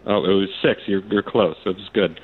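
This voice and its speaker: A man's voice: low-pitched, a little fast, breathy edge. A man calling in to a radio show for casual quizzing chat, eager and tripping over his questions. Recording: muffled phone-in line.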